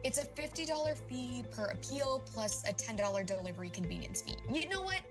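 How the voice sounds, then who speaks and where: A woman's voice: fairly high pitch, expressive, a little fast, over music. A woman playing a falsely sympathetic city bureaucrat in a comedic audio drama, breezy, officious and insincerely polite. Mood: breezy, dismissive.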